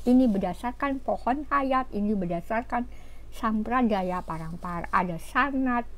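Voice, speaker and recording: A woman giving an informal religious talk, explaining scripture in an animated way with fillers and rhetorical questions. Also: on the high side, a little slow. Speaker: religious teacher.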